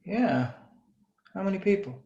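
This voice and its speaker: A man playing a wry, confident bounty cop with a gravelly, laconic, teasing delivery in a screenplay read. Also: high voice, lively intonation, unhurried, dark tone, lo-fi sound. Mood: easygoing. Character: wry, confident male bounty cop, smooth flirtatious swagger. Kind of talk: screenplay table read, dramatic dialogue.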